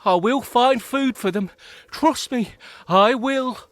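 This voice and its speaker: A man's voice: very high voice, slow, fairly bright tone, no echo, voice pushed up, exaggerated voice. A man as a stubborn, grumbling parent mole. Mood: grumbling, determined. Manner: grumbling, insistent. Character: stubborn, busy parent mole digging for its babies.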